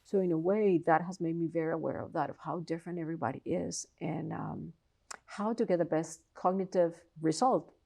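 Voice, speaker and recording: A woman's voice: low voice, brisk, gravelly, warm tone. A woman from Colombia with a Colombian Spanish accent, a professor answering interview questions in an earnest, explanatory way with frequent restarts. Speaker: professor and researcher, interview guest.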